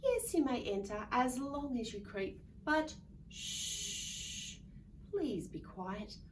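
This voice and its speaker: A woman voicing a small sleepy storybook possum girl, politely hushing her visitors with drawn-out pleas. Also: fairly high pitch, sing-song. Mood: sleepy, pleading. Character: small sleepy female possum, polite but wanting quiet. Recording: distant mic with faint background noise.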